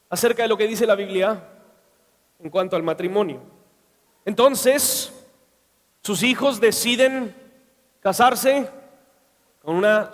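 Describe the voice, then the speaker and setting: A middle-aged pastor who grew up in Mexico, preaching at a church conference in an earnest, measured way. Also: male voice, extremely high pitch. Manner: earnest, measured delivery with pauses. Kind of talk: conference sermon. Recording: echoey hall with long reverb.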